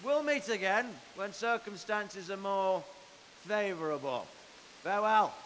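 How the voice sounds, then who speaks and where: High, forceful medieval lord. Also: male voice, animated, unhurried, long reverb tail, slight background noise, poor audio. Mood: grave, cordial. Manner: commanding, formal. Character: stern, commanding medieval nobleman, brusque but courteous to allies. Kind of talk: video game cutscene acting.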